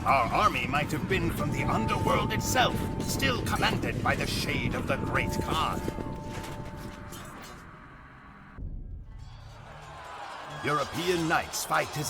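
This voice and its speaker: An actor playing a solemn, proud Mongol warrior who narrates his people's conquests in a grave, theatrical manner for a game cutscene. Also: fairly high pitch. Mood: proud, fierce. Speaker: campaign narrator. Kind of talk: dramatic scripted cutscene narration. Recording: music behind the voice. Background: music.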